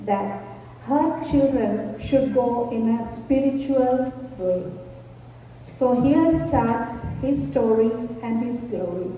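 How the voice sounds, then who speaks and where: Slow, reverent woman in an echoey hall. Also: on the high side, mellow. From Sri Lanka. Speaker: invited speaker at a devotional gathering. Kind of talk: devotional talk. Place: large hall. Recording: echoey hall from far off, dull, faint hiss.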